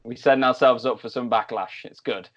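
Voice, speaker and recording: A man's voice: medium pitch, fairly quick. Chatty Mancunian man, slightly dull audio. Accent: Mancunian English. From England. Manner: chatty, animated, with frequent fillers and restarts. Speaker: co-host. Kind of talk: casual sports chat.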